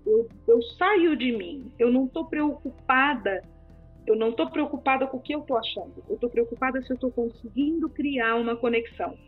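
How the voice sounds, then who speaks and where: Breathy Brazilian woman on a lo-fi line. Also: sits high, normal speed. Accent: Brazilian Portuguese. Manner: animated, acts out quoted people. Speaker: speech therapist guest. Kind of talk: podcast conversation. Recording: phone-like lo-fi audio with faint music.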